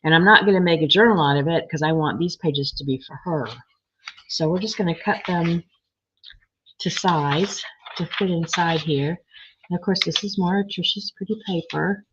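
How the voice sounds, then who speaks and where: A woman with a slightly froggy, hoarse voice, chatting casually as she works on a craft project and reads viewer comments. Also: fairly low pitch, moderate pace, a bit bright, slight background noise. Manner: chatty, thinking aloud while working, reading comments. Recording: dull lo-fi sound.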